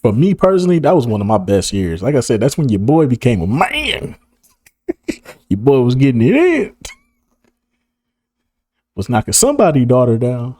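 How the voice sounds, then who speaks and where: A hoarse man of about thirty, talking casually to camera in a rambling, slang-heavy way and laughing often. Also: mid-range voice, big pitch swings, normal speed, pauses often, a little mellow, no room sound, studio quality. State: hoarse, losing his voice. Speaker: video creator. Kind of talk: casual reminiscing monologue to camera.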